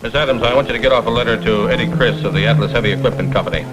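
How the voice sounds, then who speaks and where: A man's voice: medium pitch, racing, loud music behind the voice, close to an everyday voice. An actor playing a blunt, boastful middle-aged millionaire businessman and protective father in a vintage TV crime drama. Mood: blustering, bossy. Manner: blunt, forceful, boastful, clipped sentences. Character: gruff, blustering self-made millionaire businessman, protective father. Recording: noisy vintage TV soundtrack.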